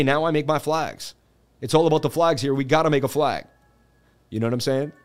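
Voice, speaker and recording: A man hosting a casual crypto live stream, rambling and laughing a lot. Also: on the high side.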